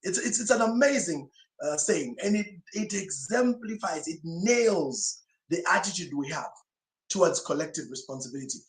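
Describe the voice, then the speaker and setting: Emphatic high-voiced male pastor. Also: expressive, normal speed. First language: Swahili. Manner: emphatic, rhetorical questions. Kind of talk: sermon-style teaching talk. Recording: roomy echo.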